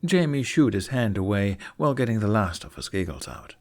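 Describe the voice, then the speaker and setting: A man's voice: lowish voice, sing-song, a little fast, darkish tone. A man narrating a scripted fiction story expressively, as an audiobook reader. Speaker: narrator of a fan fiction podfic.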